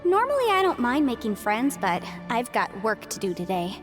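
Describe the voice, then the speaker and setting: Young game lawyer, high voice, animated intonation, polite, articulate, cheerfully businesslike, music behind. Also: female voice, normal speed. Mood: polite, explanatory. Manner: articulate, explanatory. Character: bright, polite young female legal advisor, cheerfully businesslike. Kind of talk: English video game dub.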